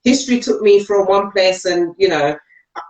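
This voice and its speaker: A woman's voice: medium pitch, expressive, normal speed, a little mellow, echoey room, rough recording. A woman from England co-hosting a live chat, speaking forthrightly and with emphasis. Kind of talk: live-stream chat show discussion. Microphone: video call.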